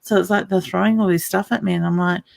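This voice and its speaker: A woman's voice: on the low side, fairly even intonation, fast, creaky, darkish tone, dry recording, studio quality. A woman psychic medium hosting a casual spiritual chat, talking chattily, laughing often and trailing off mid-sentence.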